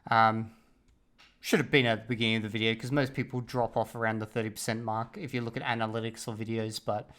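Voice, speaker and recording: A man's voice: on the low side, flat delivery, fast, fairly bright tone. A man giving casual reaction commentary on a video, blunt and profane, with laughing asides.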